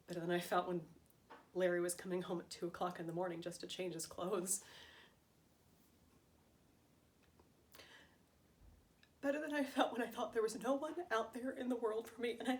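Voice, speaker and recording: Actress as a wounded, defiant wife, higher-pitched. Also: female voice, lightly acted. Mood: vulnerable, proud. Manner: emphatic, building repetition, emotionally raw. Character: wounded, defiant wife pleading fiercely with her husband. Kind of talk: dramatic stage monologue for an audition.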